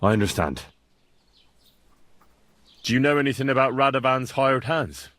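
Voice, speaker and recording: An actor playing a polite, inquisitive young medieval blacksmith's lad with a husky voice, in a video game. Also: male voice, mid-range voice, animated, normal speed, a bit bright, no echo, lightly acted. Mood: inquiring. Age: young adult. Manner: courteous, inquisitive.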